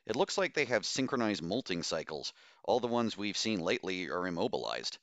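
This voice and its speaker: A man voicing a firm, measured woman expedition commander in an audiobook story. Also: low voice, big pitch swings, a bit bright, no room sound, heavily acted. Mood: brisk, commanding. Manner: authoritative, measured.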